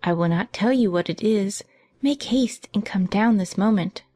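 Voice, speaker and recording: A woman voicing an excitable, naive young gentlewoman in a dramatized audiobook, breathless and eager in her exclamations. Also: mid-range voice, moderate pace, soft background music, natural voice. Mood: breathless, excited. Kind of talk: dramatized audiobook character acting.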